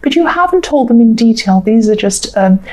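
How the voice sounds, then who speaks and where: Mellow-voiced woman reading tarot cards. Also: on the high side, animated, normal speed. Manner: repetitive, reassuring, thinking aloud with fillers. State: croaky, coughing voice. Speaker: tarot reader. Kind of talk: casual spoken tarot reading.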